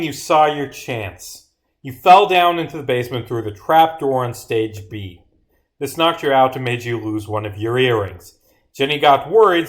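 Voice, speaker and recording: A man with a mid-pitched voice streams his visual novel work. He reads dialogue aloud with some theatrical delivery, then slips into quieter muttered commentary on his own writing. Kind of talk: livestream of reading own game script aloud with commentary.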